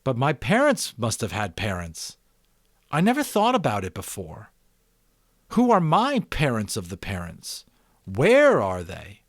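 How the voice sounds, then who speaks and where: A man's voice: mid-range voice, wildly swooping pitch, measured pace, no echo, studio quality. A man voicing an earnest, curious young boy in an audiobook, talking eagerly and questioningly as he reasons aloud. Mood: puzzled. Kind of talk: audiobook character voice.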